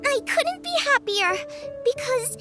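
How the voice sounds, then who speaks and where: A woman's voice: extremely high pitch, expressive, unhurried, music in the background. A woman voicing a cheerful, earnest young girl chef in a video game, with a perky, eager manner. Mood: cheerful, warm.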